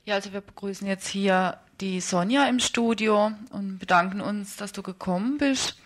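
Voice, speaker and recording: A woman's voice: medium pitch, moderate pace. A woman interviewing conversationally in a radio studio as the presenter.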